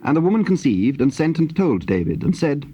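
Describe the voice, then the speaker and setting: A man with a British accent narrating scripture in a solemn, measured storytelling style. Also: fairly high pitch, sing-song, dark tone. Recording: dull, hissy old cassette tape.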